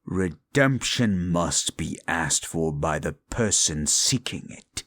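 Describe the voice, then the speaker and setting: A man voicing a gravelly, scornful demon. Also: low voice, lively intonation, slow pace, a bit bright, exaggerated voice. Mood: cold, mocking. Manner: contemptuous, belittling, theatrical. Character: scornful proud male demon of desire. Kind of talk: audio roleplay drama.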